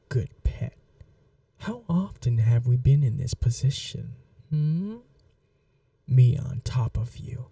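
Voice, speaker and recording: Woman playing a slow, dark-voiced vampire mistress. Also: very deep voice, creaky, no room sound, far from the mic, heavily acted. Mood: possessive, coaxing. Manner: slow, teasing, condescending, coaxing, often whispering. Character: seductive, possessive vampire mistress, teasing and domineering. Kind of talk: intimate ASMR roleplay, scripted monologue.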